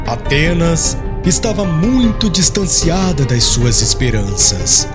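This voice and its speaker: A man with a Brazilian accent reads a literary audiobook in a solemn, expressive storytelling manner. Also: high voice. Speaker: audiobook narrator. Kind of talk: scripted literary narration. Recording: music under the voice. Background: background music.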